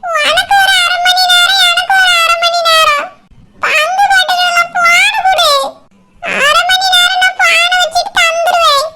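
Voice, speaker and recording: A performer voicing a whining, pleading cartoon village child with a squeaky voice and a Kanyakumari Tamil accent. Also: fairly even intonation, measured pace, pauses often. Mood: whining, demanding. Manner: whiny, pleading, insistent. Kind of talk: comedy cartoon dialogue in colloquial dialect. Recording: very noisy track with clicks.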